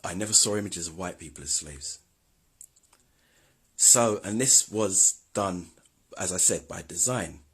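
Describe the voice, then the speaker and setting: Husky, very deep-voiced man. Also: a little slow, pauses often, a bit bright. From London, England. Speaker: video host. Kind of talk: scripted history commentary.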